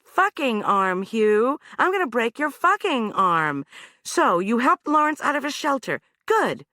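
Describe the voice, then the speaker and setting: A woman's voice: sits high, huge pitch swings, normal speed, a bit bright, no echo, clean studio sound, voice pushed up, full character voice. A woman narrator voicing a tough, angry woman who swears and threatens a man she has pinned down. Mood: furious.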